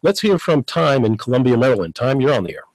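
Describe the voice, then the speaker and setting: A middle-aged man with a slightly husky voice, working through his questions with hesitant fillers. He is close-miked in a dry radio studio. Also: mid-range voice, animated, fast talker, a little mellow, no echo, low-quality recording. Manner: inquisitive, many fillers while framing questions. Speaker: radio talk show host. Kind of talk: interviewing guests. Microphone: studio condenser mic.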